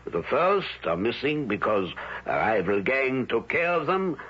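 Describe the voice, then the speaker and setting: An actor playing a formal, coldly authoritarian doctor and village elder in an old-time radio drama, speaking in a measured, pompous way. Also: male voice, mid-range voice, big pitch swings, fairly bright tone, husky, heavily acted. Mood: calculating. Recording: dull old radio broadcast.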